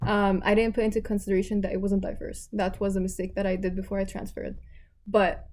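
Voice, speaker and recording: A woman's voice: mid-range voice, quick pace. A young woman from Abu Dhabi, a guest on a podcast, chatting casually and acting out the people in her stories. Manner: animated storyteller, acts out quoted voices. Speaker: guest, tennis player.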